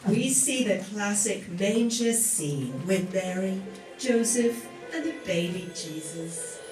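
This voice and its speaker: A woman's voice: mid-range voice, expressive, takes it slowly. A woman giving scripted narration for a radio play. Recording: roomy, distant, loud music behind.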